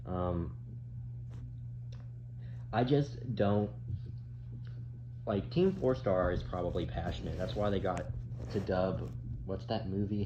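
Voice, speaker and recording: A young man giving casual, off-the-cuff reaction commentary, halting and repeating himself as he complains. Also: low-pitched. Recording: dull mic with clear background noise.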